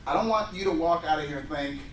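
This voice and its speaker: A man's voice: fairly high pitch, quick pace, a little hiss or hum. A man in his forties presenting a workshop to an audience, telling personal stories and acting out the dialogue in them. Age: 40s. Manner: animated storyteller who acts out dialogue. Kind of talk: workshop talk with personal anecdotes. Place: indoor workshop room with an audience. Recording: roomy room, voice picked up from a distance.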